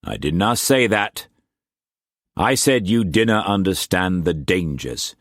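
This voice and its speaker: A man voicing a shrewd, fatherly older Scottish trader who speaks in a measured, gruff way. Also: low-pitched, animated, a bit bright, no echo, clean studio sound, exaggerated voice. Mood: stern. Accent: Scottish put-on.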